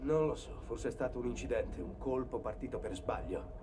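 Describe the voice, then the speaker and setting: A man's voice: medium pitch, lively intonation, normal speed, recorded from a distance, noisy background, lightly acted. A man playing an obedient, hesitant, uneasy young soldier in a dubbed video game.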